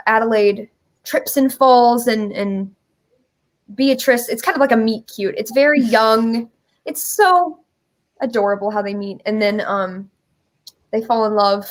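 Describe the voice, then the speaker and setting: A woman telling a story in casual, informal chat as an interview guest, with a giggly manner. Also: medium pitch. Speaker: guest presenting a musical.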